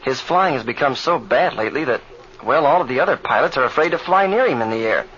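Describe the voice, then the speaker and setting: A man's voice: mid-range voice, expressive, quick pace, fairly bright tone, close to an everyday voice. A man playing an earnest, eager young pilot in an old radio drama, on a muffled, hissy recording. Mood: earnest concern.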